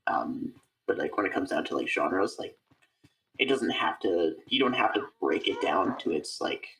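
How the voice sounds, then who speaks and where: Low-voiced man, a little off-mic. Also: very animated, moderate pace, a bit bright, distant mic, poor audio. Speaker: interview guest, voice actor. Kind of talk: casual chat.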